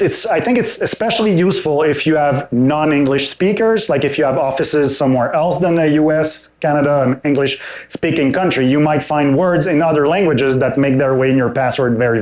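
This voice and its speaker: A man presenting a technical security talk at a conference and taking audience questions, relaxed and thinking aloud with frequent hesitations and restarts. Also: fairly high pitch. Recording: roomy hall sound.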